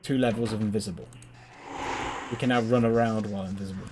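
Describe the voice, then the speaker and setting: A man's voice: fairly low pitch, fairly even intonation, quick pace, music in the background. A man giving casual co-host commentary on a video game playthrough. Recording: faint background noise, keyboard typing.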